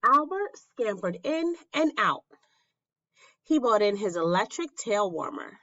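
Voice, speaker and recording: A woman reading a children's picture book aloud in an expressive, deliberate way. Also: mid-range voice, no echo, muffled recording. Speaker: storybook reader. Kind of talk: children's read-aloud narration.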